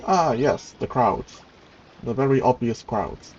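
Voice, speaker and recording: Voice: medium pitch, lively intonation, slow, a little mellow, dull audio, a little hiss or hum. A game streamer making brief, wry, casual comments while playing, with game music underneath.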